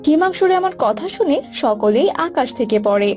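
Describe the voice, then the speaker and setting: A woman's voice: high-pitched, lively intonation, normal speed, a little mellow. A woman reading scripted narration for a cartoon story. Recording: music bed behind voice.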